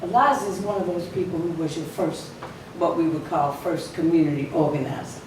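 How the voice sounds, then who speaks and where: A woman's voice: fairly low pitch, a little fast. A woman speaking as a society spokesperson at a ceremony, telling a story with emphasis and some fillers. Her voice is weaker than usual, and she leans in to a podium microphone. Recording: echoey hall from far off, noisy.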